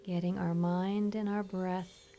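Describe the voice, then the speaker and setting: Female meditation instructor, soothing and gentle, very relaxed dark tone, taking her time over each instruction. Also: fairly low pitch, slow pace, slight background noise. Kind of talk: guided meditation narration. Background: soft meditation music with chimes.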